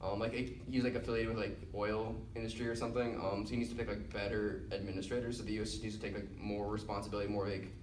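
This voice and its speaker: A man's voice: on the low side, level tone, quick pace, hardly any pauses. A young male student answering an interview casually, rambling with many fillers. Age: young adult. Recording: roomy echo, mic at a distance, faint hiss.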